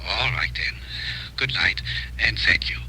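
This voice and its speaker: An actor playing a courteous, soft-spoken male con man in a 1950s radio drama. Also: fairly low pitch, a little slow, gravelly, husky, heavily acted, poor audio. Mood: smooth. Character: smooth-talking male con man, polite, false. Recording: old radio broadcast recording.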